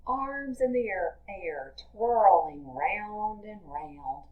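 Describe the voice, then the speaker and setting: Woman reading a children's story, slow and deliberate. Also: mid-range voice, lively intonation, phone-quality sound. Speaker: teacher reading aloud to young children. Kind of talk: picture-book read-aloud with teaching asides.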